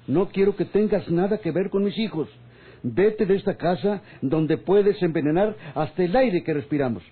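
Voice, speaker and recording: A middle-aged hacienda boss in an old radio drama. He is measured and commanding, with a rasp in a fairly high male voice. The sound is thin, like a phone line. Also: lively intonation. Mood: bitter, commanding. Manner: authoritative, measured. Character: stern, commanding master of a Mexican hacienda, a father.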